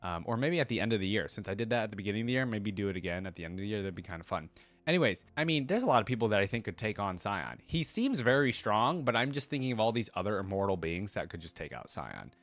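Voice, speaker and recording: A man's voice: fairly low pitch, big pitch swings, quick pace, a bit bright, dry recording. A man giving casual reaction commentary as a video host, thinking aloud in a conversational way.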